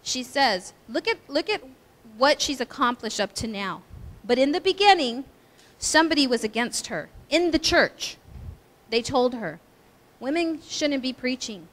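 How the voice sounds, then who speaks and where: A middle-aged woman pastor with a high, girlish voice, giving a chatty, animated talk at a women's breakfast. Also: a little slow. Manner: chatty, animated storytelling with asides. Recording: hall reverb.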